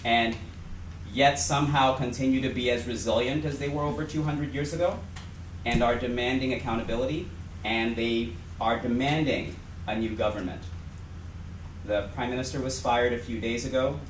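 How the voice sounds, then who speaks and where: A man's voice: mid-range voice, moderate pace. A man speaking on a panel, earnestly reading out a list of headlines. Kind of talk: panel talk. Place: lecture hall. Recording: echoey room, mic far off, faint noise.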